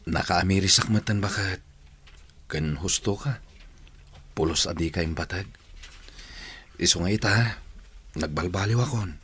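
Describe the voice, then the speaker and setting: Husky, earnest Ilocano husband. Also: male voice, sits low, measured pace, pauses often, fairly bright tone, heavily acted. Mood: earnest, remorseful. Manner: earnest, pleading. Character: repentant husband and father, earnest, eager to change. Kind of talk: Ilocano radio drama acting.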